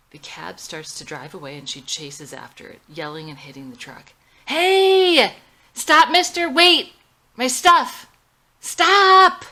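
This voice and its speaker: A woman's voice: low-pitched, sharp tone, slight background noise. A woman narrating her own screenplay as a scripted reading, including scene headings and stage directions.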